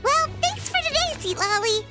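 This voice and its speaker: A woman's voice: lively intonation, normal speed, over loud music. A woman voicing a tiny childlike fairy companion in an anime game, perky, blunt and cutesy. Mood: cheerful. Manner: squeaky, cutesy, refers to self in third person.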